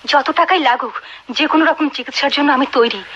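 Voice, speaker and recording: An actress with a Bangladeshi accent playing a desperate, wealthy wife who longs for a child, imploring and insistent in radio drama style. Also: female voice, high voice, a little fast, lightly acted. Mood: desperate pleading. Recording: dull, noisy old radio recording.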